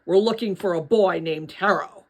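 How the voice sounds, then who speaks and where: A woman's voice: on the low side, moderate pace. A woman voicing a burly male city guard in a storybook, curt and menacing. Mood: stern, curt.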